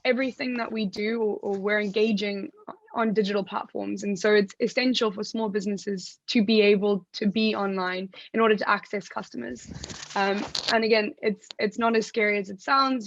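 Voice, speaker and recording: Raspy South African woman on a call. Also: mid-range voice, dry recording. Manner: frequent restarts and fillers, conversational. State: hoarse. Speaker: panelist. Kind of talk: panel discussion over video call. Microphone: video call. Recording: dull lo-fi video call audio.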